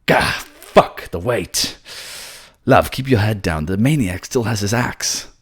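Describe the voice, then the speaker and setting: A man's voice: lowish voice, lively intonation, slow, breathy edge, pitched above the performer's own voice, full character voice. A man playing a caring, anxious ice mage in a fantasy audio drama, speaking breathily and pleadingly. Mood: strained, panicked.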